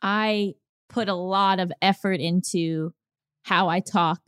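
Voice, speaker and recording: A woman co-hosting a podcast, speaking in a measured, soothing manner. Her voice is recorded dry and close, with no room sound. The tone is relaxed conversation. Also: on the low side, clean tone, no echo. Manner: measured, calm, comforting way of speaking. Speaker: podcast co-host. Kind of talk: casual chat.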